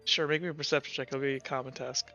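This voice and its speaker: A man's voice: medium pitch, steady tone, very fast, bright, forceful tone, faint music behind. A young man narrating a tabletop game as game master, in a loose, hedging way full of fillers. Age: young adult. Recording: dull lo-fi mic.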